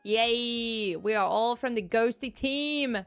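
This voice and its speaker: A chatty woman, talking loosely and laughing between thoughts. Her voice sits a little high. Quiet music plays underneath her. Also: slow. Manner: giggly, chatty, frequent laughs and restarts. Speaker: VTuber streamer. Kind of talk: casual chat reading live chat.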